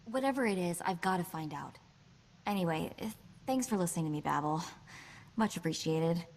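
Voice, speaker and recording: An actress playing a sassy, quick-witted young woman hacker in a video game, with a chatty, wry delivery. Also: fairly low pitch, animated, slight background noise. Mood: nervous. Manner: wry, chatty, hesitant asides.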